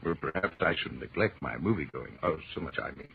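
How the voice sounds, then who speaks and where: An actor playing a playful, adoring professor husband with flowery, teasing delivery in 1930s radio drama. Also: male voice, rumbling low, animated, brisk, vocal fry, full character voice. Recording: noisy, muffled 1930s radio recording.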